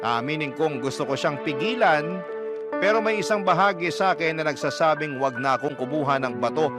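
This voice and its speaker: A man's voice: a little high, a little fast, close to an everyday voice. A man narrating as a regretful but resolute ex-boyfriend in a Tagalog radio drama, earnest and confessional. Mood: resolute, rueful. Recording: loud music bed under narration.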